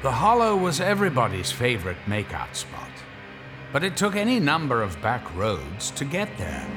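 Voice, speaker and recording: An actor playing the dramatic storyteller of a horror audio drama, reading scripted narration. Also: male voice, mid-range voice, huge pitch swings, moderate pace. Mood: dramatic, foreboding. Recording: loud music and car sounds behind.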